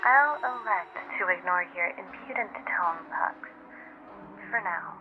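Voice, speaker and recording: Woman voicing a sickly giant matron, horror game, sweetly sinister, formal and grandiose, clear music behind. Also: mid-range voice, unhurried, narrow, tinny audio, natural voice. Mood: imperious, sweet. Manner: formal, grandiose, saccharine endearments. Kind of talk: theatrical horror game voice acting.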